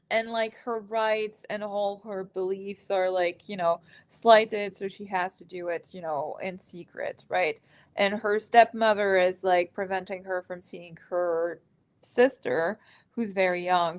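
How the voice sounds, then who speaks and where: A woman in casual conversation on a reading stream, speaking at a middling pitch. She rambles with many fillers and restarts, trailing off, and sounds a little sniffly from allergies. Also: fairly even intonation, unhurried. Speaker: co-host of a reading sprint stream. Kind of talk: casual chat.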